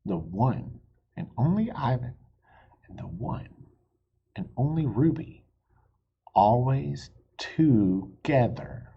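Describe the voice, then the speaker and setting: A reader voicing a gentle, thoughtful male silverback gorilla in a picture book read aloud. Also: medium pitch, sing-song, takes it slowly, vocal fry, long pauses, slightly rough, dull audio, full character voice. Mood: reassuring.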